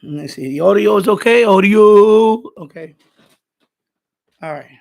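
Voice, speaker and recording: A man with a rough, gravelly voice chatting casually on a livestream, repeating himself and muttering as he tinkers. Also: sits high, unhurried. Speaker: live streamer, radio hobbyist. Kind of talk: casual rambling livestream chat.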